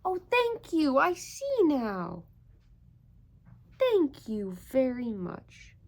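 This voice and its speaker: Female children's storyteller, unhurried and fairly high, crackly edge, wide-eyed wonder, chats to the kids. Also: wildly swooping pitch, creaky, darkish tone. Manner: gushing wonder, addresses listeners as children. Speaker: storyteller reading a picture book aloud. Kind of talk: children's read-aloud with asides to young listeners.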